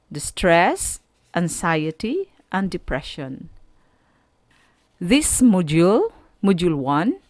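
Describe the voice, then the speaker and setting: A woman with a Filipino accent teaching an online radio school lesson, speaking to students in a deliberate, instructive way and putting questions to them. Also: mid-range voice, no room sound, studio quality.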